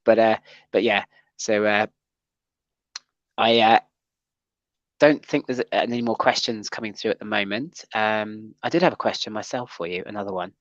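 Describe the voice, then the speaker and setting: A man's voice: lowish voice, moderate pace, pauses often, no room sound, dull audio. A man hosting a casual livestream chat show, talking with many ums and restarts. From Wales. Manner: hesitant, full of ums and restarts.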